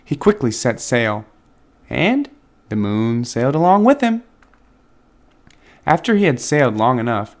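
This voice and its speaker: A man with a medium-pitched, very lively voice reads a children's story aloud. His delivery is expressive and dramatic, shaped for young listeners, with wide swings in intonation. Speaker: children's book reader and channel host.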